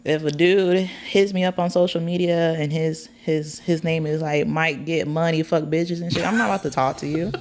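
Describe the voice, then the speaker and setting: A woman's voice: low-pitched, fairly even intonation, moderate pace, few pauses, a little mellow, a little hiss or hum. A thirty-year-old woman chatting casually as a podcast guest, blunt and slangy in how she talks. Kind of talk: casual conversational chat.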